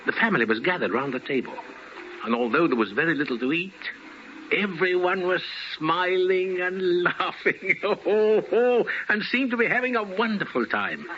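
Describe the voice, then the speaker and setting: A man's voice: high voice, lively intonation, moderate pace, a little hiss or hum. A man narrating a Christmas tale as a theatrical 1950s radio storyteller. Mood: vivid storytelling. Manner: theatrical, relishing lists. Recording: dull old radio recording with music.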